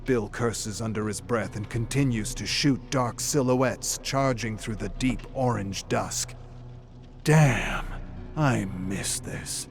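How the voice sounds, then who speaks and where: A man's voice: on the low side, slow. A man playing a grizzled, cynical older war veteran, narrating a dramatic story in folksy tough-guy slang. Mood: gruff, exasperated. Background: loud music.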